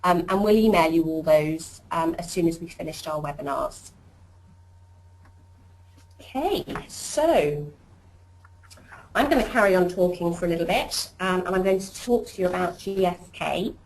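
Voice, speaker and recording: A woman hosting a corporate webinar as programme director, presenting warmly and chattily with frequent ums and uhs. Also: fairly low pitch, expressive, moderate pace, pauses often, slight background noise, low-quality recording.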